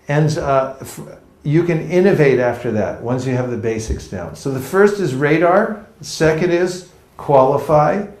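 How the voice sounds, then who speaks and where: A man's voice: on the high side, animated, unhurried, darkish tone. A man from California teaching a book distribution seminar to a live audience, joking and acting out street encounters. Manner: playful, jokey, acts out street encounters. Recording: echoey room.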